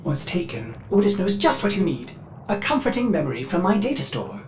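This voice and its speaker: A man's voice: sits high, big pitch swings, fairly quick, slight background noise. A male actor voicing a devoted, neurotic AI ship assistant in a video game, with an eager, servile, theatrical manner. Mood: anxious, eager. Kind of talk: video game cinematic acting.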